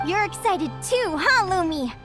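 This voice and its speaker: Young bird fae heroine: high, earnest, curious game character, faint music underneath. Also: female voice, measured pace, heavily acted. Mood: excited, hopeful. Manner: earnest, expressive. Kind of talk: video game voice acting.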